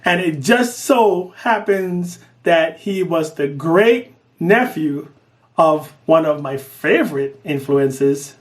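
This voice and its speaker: A middle-aged man from Guyana, telling his own story in an earnest audition video. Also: on the high side. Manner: earnest, lively, swings between quick bursts and slow emphasis. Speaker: artist making an audition video. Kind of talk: personal storytelling to camera.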